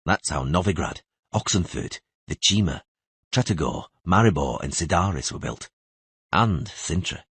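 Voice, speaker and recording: A man's voice: low voice, animated, moderate pace, fairly bright tone, husky, no echo, full character voice. A man voicing a stern, guarded monster hunter and patient mentor in a fantasy audiobook. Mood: somber. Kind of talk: audiobook character voice.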